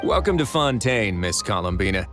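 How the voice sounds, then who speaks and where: An actor playing a laid-back, wry prison warden in a video game, dry, casual and understated. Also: male voice, lowish voice, sing-song, normal speed, over music. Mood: wry, relaxed.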